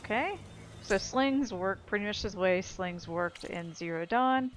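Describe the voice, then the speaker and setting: Casual female let's-player, mid-range voice, animated and offhand, over audible game noise. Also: medium pitch, a little slow. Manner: informal, offhand, swears casually. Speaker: gamer commentating on her own playthrough.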